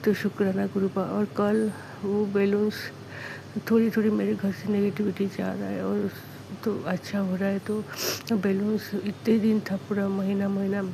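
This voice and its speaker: A woman with a sore, hoarse throat giving an earnest devotional testimony, repeating thanks in halting phrases. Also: medium pitch, level tone, background noise.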